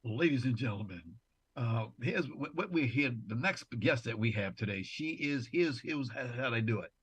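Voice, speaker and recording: A man from New York with a husky, mid-pitched voice hosts a talk show. He introduces guests in courteous, formal phrasing, and the sound is close and dry. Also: lively intonation, moderate pace, no echo. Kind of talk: interview and introductions.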